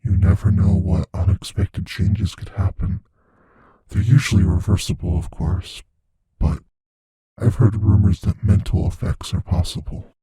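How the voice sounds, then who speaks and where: An actor voicing a playful, possessive, menacing shape-shifting monster in an intimate audio roleplay, musing slowly in a husky, breathy near-whisper. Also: male voice, sits low, dark tone, no room sound, heavily acted. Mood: musing, intrigued. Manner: slow, musing, savoring pauses.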